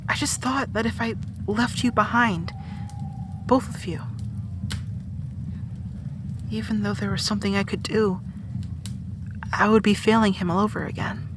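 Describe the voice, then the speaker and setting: A woman's voice: medium pitch, fairly even intonation, unhurried, pauses often, noisy background, natural voice. A woman playing an earnest, determined young heroine in an audio drama, speaking with emotion. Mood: guilty, sorrowful. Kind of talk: amateur audio drama acting.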